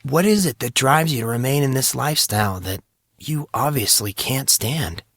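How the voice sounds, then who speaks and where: A man's voice: medium pitch, expressive, normal speed, fairly bright tone, slightly rough, no echo, studio quality. A man voicing an earnest, wry young rich man in a narrated fantasy story. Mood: probing, earnest.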